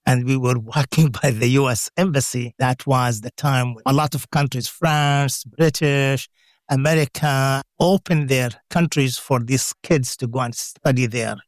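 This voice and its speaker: A man in his sixties with a Lebanese Arabic accent, a guest in a radio interview, telling his life story with animated, laughing delivery. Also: mid-range voice, unhurried, no echo, studio quality. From Jordan. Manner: animated, acts out voices, laughs while telling.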